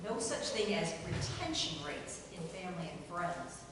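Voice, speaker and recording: A woman's voice: lowish voice, sing-song, unhurried. A woman reading the part of a brusque, world-weary grandmother in a play's table reading, dry, blunt and folksy. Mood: matter-of-fact. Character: brusque, world-weary grandmother working beside a young colleague. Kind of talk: table reading of a stage play. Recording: very echoey hall from far off, faint noise.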